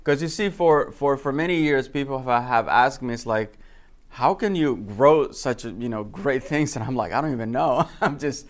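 A man's voice: mid-range voice, normal speed. A man from the Republic, teaching informally as a gardening instructor and often repeating words as he goes. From Dominican Republic. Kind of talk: informal lecture with personal anecdotes.

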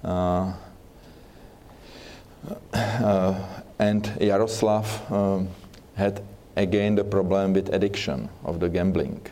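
Man, Czech accent, flat low delivery, slow, halting with fillers. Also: a little hiss or hum. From Czech Republic. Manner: halting, many fillers and restarts. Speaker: seminar speaker. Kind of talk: church seminar talk.

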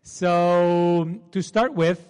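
A man's voice: high-pitched, fairly even intonation, very slow, darkish tone, clean tone. A man in his forties with a Portuguese accent, giving an informal seminar talk and often asking the audience to confirm. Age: 40s. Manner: chatty, asks the audience to confirm. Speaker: seminar presenter. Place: seminar room. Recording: long hall reverb.

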